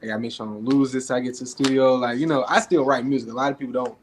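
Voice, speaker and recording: A man's voice: medium pitch, fairly even intonation, racing, slight background noise. A young man from Atlanta, a rapper talking casually in an interview and projecting his voice. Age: young adult. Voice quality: raspy. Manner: projecting his voice, laid-back with frequent fillers. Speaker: rapper guest.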